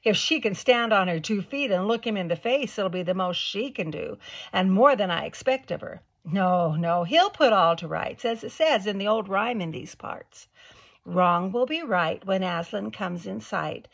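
A woman's voice: mid-range voice, animated, moderate pace, gravelly. A woman voicing a male talking beaver, gloomy at times and hearty at others, with a folksy, homespun way of speaking.

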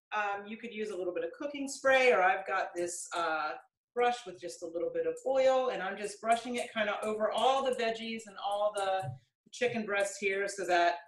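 A woman's voice: mid-range voice, fairly bright tone. A middle-aged woman giving a casual cooking demonstration in her home kitchen, chatty and practical, with many ums and restarts. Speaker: cooking segment presenter. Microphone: camera across the kitchen counter. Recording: echoey kitchen, voice from a distance.

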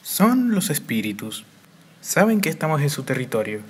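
A man's voice: fairly high pitch, very animated, moderate pace. A man voicing a manic male cartoon cat bootlegger in a fan dub, grandiose and melodramatic. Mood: gleefully theatrical. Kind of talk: animated fan dub acting. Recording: clear noise behind the voice.

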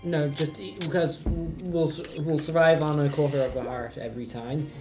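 A woman's voice: deep voice, steady tone, normal speed. A woman chatting casually and joking dryly as she co-hosts a gameplay video. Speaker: gaming video co-host and player. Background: loud video game music.

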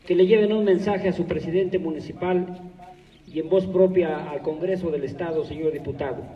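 Man making a big outdoor speech, heard from far off, voice bouncing back off walls, kinda dull sound. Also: sits high. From Mexico. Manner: oratorical, rising to emphatic declarations. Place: large open courtyard of a hacienda, outdoors. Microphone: far camera, no close mic. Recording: echoey distant outdoor phone video.

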